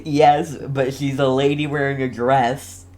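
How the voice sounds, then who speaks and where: A young man giving casual live game commentary as a streamer, halting and often repeating his phrases. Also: medium pitch, little pitch movement, darkish tone. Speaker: gaming streamer.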